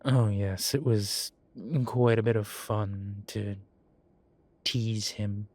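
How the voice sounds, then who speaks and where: A man playing a composed, teasing demon professor in a roleplay audio, speaking in a formal, measured and wry way. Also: on the low side, steady tone, a little mellow, no room sound. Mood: fond reminiscing. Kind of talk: scripted roleplay audio, one side of a conversation.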